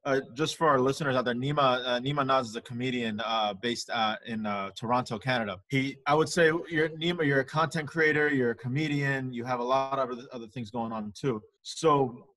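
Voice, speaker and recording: A man's voice: medium pitch, steady tone, brisk, hardly any pauses, pushed and bright. A man co-hosts a casual podcast chat. He is friendly and talkative, and often starts over mid-sentence. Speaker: podcast co-host.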